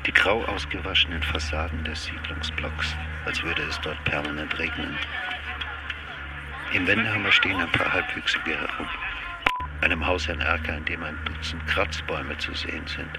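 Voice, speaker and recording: A very deep, creaky male investigator in a radio play, dictating observations in terse fragments. He broods and muses, under loud noise. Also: fairly even intonation, normal speed. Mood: brooding, musing. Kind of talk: radio drama monologue, dictated notes.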